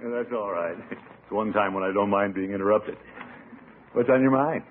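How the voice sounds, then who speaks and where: A man's voice: fairly low pitch, phone-quality sound, close to an everyday voice. A man playing a genial, pompous middle-aged uncle with an avuncular manner in a 1950s radio sitcom. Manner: avuncular, drawn-out, self-satisfied. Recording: thin, hissy old radio recording.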